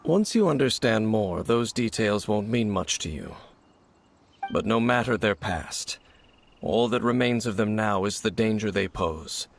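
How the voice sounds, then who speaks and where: A man voicing a solemn, formal knight in a video game, speaking in a measured, grave way. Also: fairly low pitch, animated, gravelly. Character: solemn male knight, grave keeper of ancient secrets.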